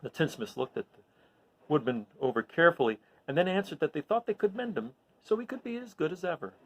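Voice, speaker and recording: A man reads a storybook aloud to his listeners. His delivery is animated and very varied in pitch, and his voice has a creaky, gravelly edge. He tells the tale expressively, as if to children. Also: fast talker, low-quality recording. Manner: animated, recapping with questions to listeners. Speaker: storyteller reading aloud to an audience.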